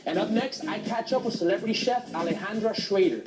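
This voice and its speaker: A man's voice: high voice, brisk. A man hosting a TV show, delivering his intro in an upbeat, scripted way. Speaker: host. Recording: echoey room with music behind.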